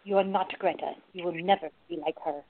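A woman's voice: lowish voice, fairly even intonation, brisk, no echo. Woman acting a protective lover, dry phone-like sound. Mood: firm, disdainful. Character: worldly, protective woman lover, bitter about an old flame. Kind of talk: radio play dialogue.

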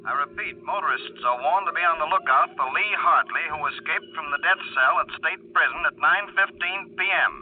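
An urgent male bulletin announcer in an old radio drama. He speaks formally and emphatically in a fairly high male voice. The sound comes through a car radio, thin and narrow, with a faint hum. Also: fairly quick, few pauses. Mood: grave warning. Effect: heard through a car radio. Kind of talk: 1940s radio acting. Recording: tinny, hummy 1940s radio recording.

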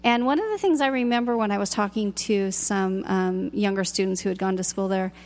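Gravelly-voiced enthusiastic woman radio host. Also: medium pitch, brisk. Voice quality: loud, projecting voice. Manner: enthusiastic, chatty, laughs often. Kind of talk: radio interview.

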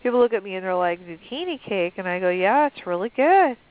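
A woman's voice: medium pitch, sing-song, a little fast. A sniffly woman co-hosting a cooking show, chatting casually and rambling with lots of fillers. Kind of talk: casual chat. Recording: dry tinny phone line.

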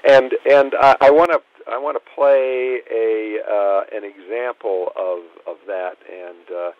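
A man's voice: lowish voice, fairly even intonation, unhurried. A man hosting a radio interview, hesitant and restarting often. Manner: hesitant, many uh fillers and restarts. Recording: dull lo-fi radio recording.

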